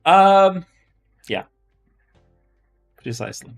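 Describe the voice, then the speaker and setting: A man with a Czech accent talks casually on a live stream at an unhurried pace, with faint music behind him. He speaks haltingly, doubling back on words and phrases as he goes. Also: high-pitched, big pitch swings, gravelly. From Czech Republic. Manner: halting, repeats and restarts phrases. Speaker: live-stream host, web developer.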